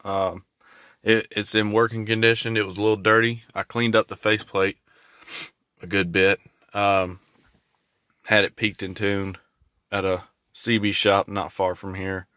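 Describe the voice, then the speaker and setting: A man's voice: on the low side, flat delivery, a little slow, pauses often, sharp tone, clear, resonant tone, no echo, studio quality. A man giving a casual, hands-on video walkthrough in a laid-back, folksy way. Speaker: hobbyist vlogger showing a truck install.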